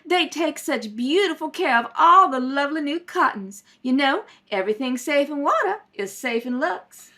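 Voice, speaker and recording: Woman as a very high, gushing fashion guest. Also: expressive, moderate pace, few pauses, bright, forceful tone. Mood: cheerful, selling. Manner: effusive, genteel. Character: gushing, chirpy lady fashion guest in radio soap advertisement. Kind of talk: scripted 1930s radio commercial.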